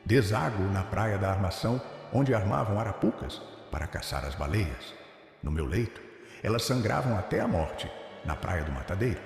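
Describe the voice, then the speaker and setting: Man voicing a gravelly, echoing wounded river. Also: on the low side, big pitch swings, normal speed. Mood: solemn, mournful. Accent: Brazilian. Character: wounded, thirsty old river speaking with solemn longing. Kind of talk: dramatic poetic first-person narration. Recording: cavernous echoing reverb with faint music.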